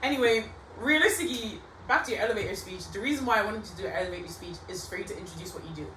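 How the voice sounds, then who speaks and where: A woman's voice: medium pitch, animated, racing, sharp tone, distant mic. A young woman hosting a casual podcast, teasing and playful, using slangy chat. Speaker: podcast host. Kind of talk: casual banter interview. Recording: roomy, off-mic, faint background hiss.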